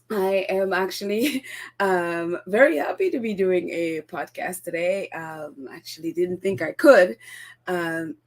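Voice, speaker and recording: Woman with a cold doing a chatty podcast, croaky and stuffy, giggles a lot. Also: medium pitch. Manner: chatty, giggly, lots of ums. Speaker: podcast host. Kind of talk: casual solo podcast chat.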